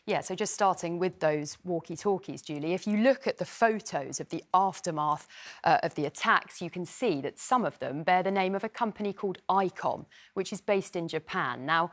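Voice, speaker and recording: A female news reporter talks a presenter through an explanatory briefing. Her voice is a bit lower than average for a woman. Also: normal speed.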